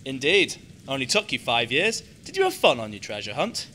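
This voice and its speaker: A man's voice: mid-range voice, sing-song, sharp tone, a little hiss or hum. A man playing a gloating, mocking serial killer villain in an amateur radio play, with theatrical, taunting delivery. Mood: smug taunting. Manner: mocking, taunting, drawn-out menace.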